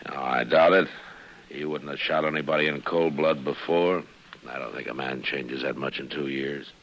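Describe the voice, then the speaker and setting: An American man in a 1950s radio western, playing a calm, steady marshal with an authoritative manner and gravelly voice. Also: extremely low pitch, normal speed, exaggerated voice. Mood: quiet authority. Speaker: lead radio actor. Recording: muffled, noisy old radio recording.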